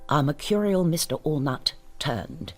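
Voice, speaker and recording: A man's voice: on the high side, animated, unhurried, soft background music. A man narrating a radio drama in a wry, dryly humorous manner. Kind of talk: scripted narration.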